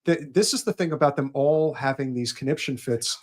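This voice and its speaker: A man with a deep voice co-hosting a casual political livestream, talking in chatty bursts with restarts and asides to viewers. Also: a little fast.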